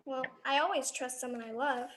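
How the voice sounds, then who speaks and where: A woman's voice: high voice, a little fast, fairly bright tone. A woman playing an earnest, inquisitive little girl with a direct, innocent manner in a live radio drama. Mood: trusting.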